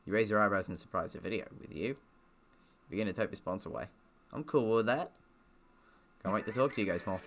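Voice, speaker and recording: A man's voice: on the low side, fast, long pauses. A man streaming a game, joking and swearing casually as he comments and reads its text aloud. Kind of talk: casual gaming commentary, reading visual novel text aloud.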